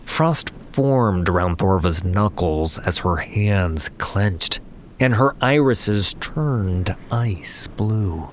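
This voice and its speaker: A man narrating a scripted fantasy story in a measured, solemn manner. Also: low voice, expressive, slight background noise. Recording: faint ambience behind the voice.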